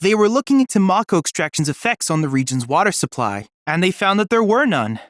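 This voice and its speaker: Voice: medium pitch, sing-song, fairly quick, a bit bright, no echo, studio quality. An actor voicing an earnest, brainy boy-like android assistant in a video game, youthful-sounding and speaking in formal, precise, bookish phrases. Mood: earnest, analytical. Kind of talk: video game voice acting, scripted dialogue.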